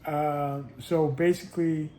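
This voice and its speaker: A man vlogging in a casual, rambling monologue, halting often with restarts and little chuckles. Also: on the high side, little pitch movement, mellow, a little hiss or hum.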